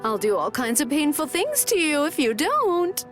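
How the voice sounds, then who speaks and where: A woman's voice: sits high, very animated, moderate pace, soft background music. A woman voicing a confident, teasing and caring big-sister character in an anime game, coaxing and candid.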